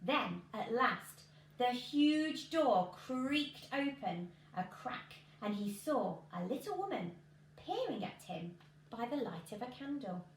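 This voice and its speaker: A woman's voice: fairly high pitch, sing-song, takes it slowly. A woman in her thirties with an English accent, reading a children's storybook expressively. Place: small living room, indoors. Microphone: camera a couple of metres away. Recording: roomy home recording with clear background noise.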